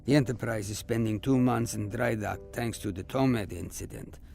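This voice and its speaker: A man's voice: on the low side, normal speed, creaky, a little mellow, faint music behind. A man playing a feisty, wry older starship captain in a science fiction audio drama, with theatrical, playful bluster. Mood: dryly businesslike.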